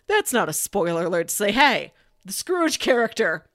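A woman co-hosting a podcast, pitching movies in a casual, enthusiastic and emphatic way with chatty asides. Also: sing-song, normal speed, a bit bright, no room sound, clean studio sound. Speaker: podcast co-host.

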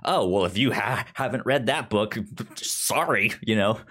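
Male host, deep pitch, casual book chat, halting delivery with repeated words. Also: expressive, fairly bright tone. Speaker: podcast host.

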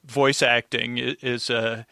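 A man's voice: mid-range voice, little pitch movement, slow pace, creaky, pushed and bright, dry recording, studio quality. A man guesting on a recap podcast, chatting casually and enthusiastically in rambling, half-finished thoughts. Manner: rambling, enthusiastic, quotes his own reactions.

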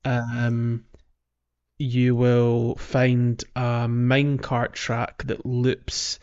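A man's voice: mid-range voice, monotone, extremely slow, a little mellow, clean tone. A man hosting a podcast, explaining a video game playthrough casually and a bit hesitantly. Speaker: podcast host. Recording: slightly dull lo-fi audio.

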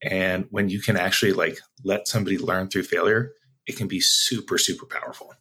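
A man's voice: low-pitched, fairly even intonation, brisk, pushed and bright, breathy edge, low-quality recording. A man answering interview questions as a sales executive guest, talking conversationally in a reflective, explanatory way.

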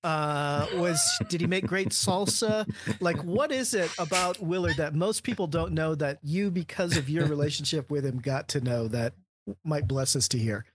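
A man's voice: a little high, normal speed, background noise. A man hosting a conversational interview, appreciative and a little playful in how he asks questions. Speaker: interview host.

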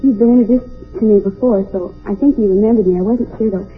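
A woman's voice: mid-range voice, fast talker. An American woman answering chattily and earnestly as a quiz show contestant. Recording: tinny old radio recording. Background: music and studio audience.

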